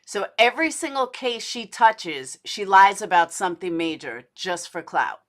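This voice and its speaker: A woman's voice: mid-range voice, lively intonation, moderate pace, a bit bright. A woman giving casual livestream commentary in a blunt, indignant, sweary manner, as host of a true crime show.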